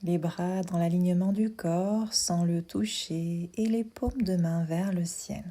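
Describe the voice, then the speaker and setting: Slow, soft, breathy woman guiding meditation. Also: lowish voice. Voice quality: soft, breathy, half-whispered. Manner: calm, soothing, unhurried instructions with pauses. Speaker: yoga nidra guide. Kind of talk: guided sleep meditation.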